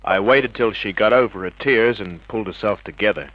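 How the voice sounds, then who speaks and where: An actor playing a tough, wisecracking private detective, terse and cocky, narrating in the hardboiled style of an old radio crime drama. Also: male voice, lowish voice, brisk, lightly acted. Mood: wry, matter-of-fact. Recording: dull vintage radio drama recording.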